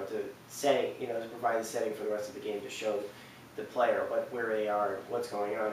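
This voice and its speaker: A man in his twenties talks casually to camera as a list host, halting and rambling in an earnest way. His voice sits at a middling pitch. It is recorded in a very echoey living room from a distant mic, with faint noise. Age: 20s. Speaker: video game list host. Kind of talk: casual unscripted review to camera. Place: indoor living room on a couch.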